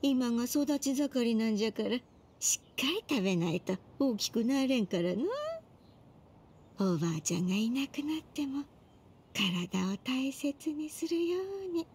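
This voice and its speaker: Game role: gentle elderly granny, doting and folksy, unhurried, with lilting intonation. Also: female voice, fairly high pitch, expressive, a little hiss or hum. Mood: tender, consoling. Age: older. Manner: folksy old-person speech, soothing. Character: tender, doting elderly grandmother, gentle and humble. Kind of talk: Japanese video game voice acting.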